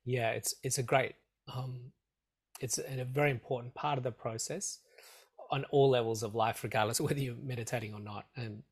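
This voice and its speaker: A man with a slightly croaky throat, a meditation teacher giving earnest, measured answers in an interview and repeating phrases for emphasis. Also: medium pitch, fairly even intonation. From Australia.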